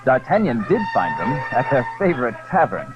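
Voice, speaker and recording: A man's voice: medium pitch, wildly swooping pitch, moderate pace, muffled recording, music in the background. A man narrating a classic adventure novel in dramatic, scripted storytelling style.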